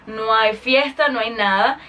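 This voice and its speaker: A woman's voice: on the high side, unhurried, pushed and bright, distant mic, soft background music. A woman with a Cuban accent hosting her podcast in a chatty, informal monologue to her followers. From Cuba. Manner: chatty, informal, with English interjections. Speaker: podcast host and singer.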